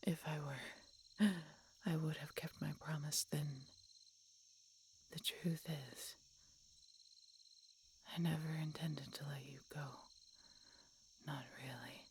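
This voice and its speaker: Woman voicing a low, whispery dying dragon. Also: steady tone, a little hiss or hum. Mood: regretful, resigned. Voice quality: breathy, whispery. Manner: slow, halting, labored speech with pauses and gasps. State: weak, labored breathing. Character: dying injured female dragon, tender and regretful. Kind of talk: audio roleplay, intimate dramatic monologue.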